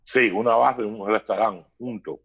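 A man's voice: on the low side, moderate pace, a bit bright, slightly rough, dry recording, highs cut off. A middle-aged man with a Cuban accent shaped by New Jersey, a guest comedian in a loose, joking interview over a video call, mixing Spanish with English. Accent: Cuban, raised in New Jersey. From Cuba. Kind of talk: casual interview chat.

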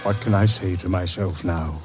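An actor playing an anguished, remorseful father who speaks in a halting, pleading way, in 1940s radio acting. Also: deep voice, steady tone, dull audio, over loud music, close to an everyday voice.

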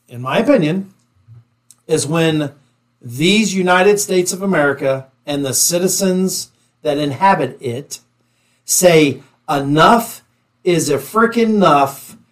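A man's voice: a little high, lively intonation, slow pace. A man giving his opinions as a panelist in a casual discussion, blunt and emphatic.

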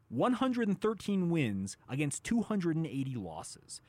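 Emphatic man talking sports. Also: mid-range voice. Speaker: sports talk show host. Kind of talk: casual sports discussion.

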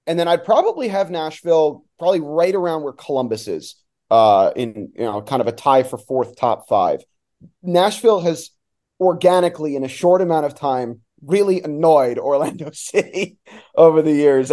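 A man's voice: fairly high pitch, dark tone. A man who works as a soccer announcer, chatting casually and enthusiastically in detail as a podcast guest. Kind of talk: casual sports analysis chat.

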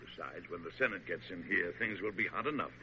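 A man's voice: low-pitched, lively intonation, brisk, pushed and bright, full character voice. An actor playing a proud, fussy middle-aged president, playfully pompous with his wife, in a 1940s American radio drama. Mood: proud, musing. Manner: pompous, declamatory. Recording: muffled, noisy old radio recording.

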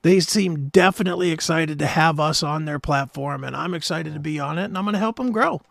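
A man's voice: on the high side, brisk, vocal fry, dry recording. A man hosting a talk show in casual chat, with an informal, enthusiastic manner.

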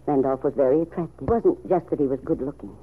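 A woman playing a kindly, wistful older aunt with a low husky voice, measured and tender, in old-time radio soap style. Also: slight background noise. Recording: narrow, tinny old radio recording.

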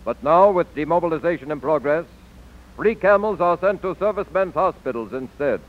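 A man's voice: on the high side, animated, fairly quick. An American man announcing a formal scripted tribute in a solemn, declamatory 1940s radio style. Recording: tinny narrow-band old radio.

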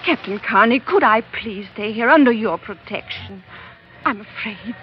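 An actress playing an anxious, secretive widow in 1940s radio melodrama, earnest and given to dramatic pauses. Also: female voice, fairly high pitch, lively intonation, natural voice. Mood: fearful. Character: anxious, secretive new widow confiding in a sea captain. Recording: dull, faintly noisy old radio recording.

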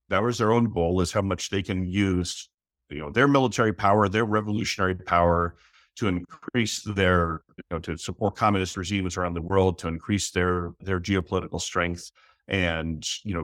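Low-voiced man explaining history. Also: fairly bright tone, no room sound, clean studio sound. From United States. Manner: measured, with frequent restarts and fillers. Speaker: historian guest. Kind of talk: interview answers.

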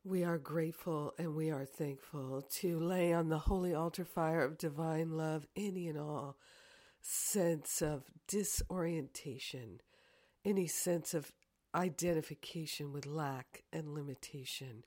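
A woman with a hoarse, croaky voice from a cold, leading a slow, earnest guided prayer full of affirmations. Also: sits low, no echo, clean studio sound. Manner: soft, slow and earnest, repeating "grateful and thankful". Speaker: spiritual teacher and podcast host.

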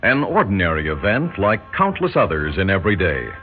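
Low-voiced portentous male radio narrator. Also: wildly swooping pitch, fairly quick, narrow, tinny audio. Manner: portentous, dramatic. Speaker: narrator and announcer. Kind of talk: mid-century radio drama narration. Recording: thin band-limited old radio recording. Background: loud dramatic music.

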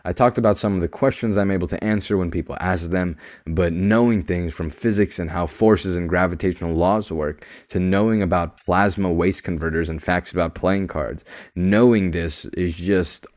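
A young man with a deep, projected voice giving a casual podcast monologue in an emphatic, matter-of-fact, know-it-all manner. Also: moderate pace, a little mellow. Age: young adult. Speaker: podcast host.

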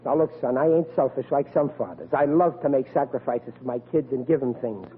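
A man's voice: mid-range voice, brisk, lightly acted. An actor playing a blustering, stubborn working-class father in a 1940s radio sitcom, with emphatic, ungrammatical talk. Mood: self-righteous. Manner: emphatic, ungrammatical, exasperated blue-collar talk. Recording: tinny, noisy vintage radio recording.

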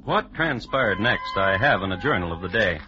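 A man playing a dry, formal crime historian, narrating in deadpan 1950s radio style. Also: fairly low pitch, normal speed, loud music behind the voice, natural voice. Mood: dry, precise. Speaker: narrator and host. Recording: muffled vintage radio broadcast recording.

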